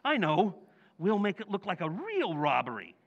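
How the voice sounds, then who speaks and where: A man's voice: high-pitched, wildly swooping pitch, moderate pace, lo-fi sound, full character voice. An actor playing a bumbling, guilt-ridden middle-aged American husband and father in old-time radio comedy style, flustered and pleading in colloquial working-class talk.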